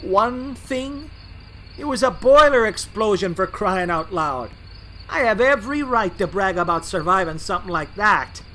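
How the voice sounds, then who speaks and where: A man's voice: high voice, lively intonation, measured pace, sharp tone, slight background noise, full character voice, poor audio. A man playing a boastful, grouchy, easily offended switcher locomotive in a fan audio drama. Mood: indignant, boastful. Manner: brash, indignant, boastful.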